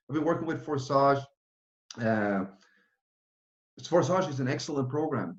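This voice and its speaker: Man in his thirties, roomy lo-fi sound. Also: medium pitch. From Norway. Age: 30s. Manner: fast, run-on, with frequent restarts and fillers. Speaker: project founder presenting. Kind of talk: online presentation with Q and A.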